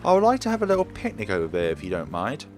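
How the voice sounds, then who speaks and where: A man's voice: on the low side, huge pitch swings, rapid-fire, a little mellow, soft background music. A man reading the lines of a bold, well-off young woman in a polite, formal way. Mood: curious.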